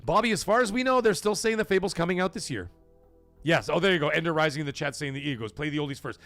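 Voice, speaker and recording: A man's voice: fairly high pitch, quick pace, vocal fry, a bit bright, no echo, soft background music. A man hosting a gaming livestream, rambling casually and answering viewers from the chat by name. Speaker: livestream gaming show host.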